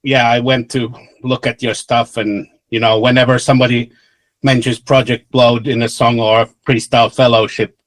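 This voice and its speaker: Easygoing co-host. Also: male voice, mid-range voice, little pitch movement, moderate pace. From Finland. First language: Swedish. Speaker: podcast co-host. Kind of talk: casual chat.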